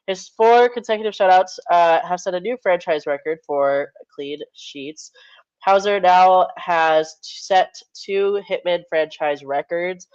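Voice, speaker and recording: A man's voice: a little high, darkish tone, dry recording, highs cut off. A young man hosting a casual podcast, stuffed-up and nasal, rambling through updates and stats with plenty of fillers. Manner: rambling, self-correcting with fillers. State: congested, nasal. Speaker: podcast host.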